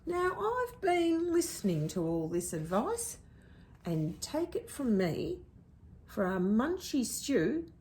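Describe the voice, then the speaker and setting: A bossy little storybook echidna, acted out by a woman reading. He bristles and insists, taking his time over each phrase with lively pitch. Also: slow, a little hiss or hum, heavily acted. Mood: bristling, insistent. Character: bristly, bossy male echidna, sure of himself.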